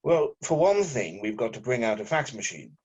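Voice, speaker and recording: A man's voice: mid-range voice, sing-song, moderate pace, darkish tone, slightly rough. Guy acting a sarcastic jaded reporter at a table read, voice goes up and down a lot, bit muffled. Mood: bored sarcasm. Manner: sarcastic, theatrical. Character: sardonic, jaded male newspaper journalist, drunk when young. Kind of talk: amateur script table read.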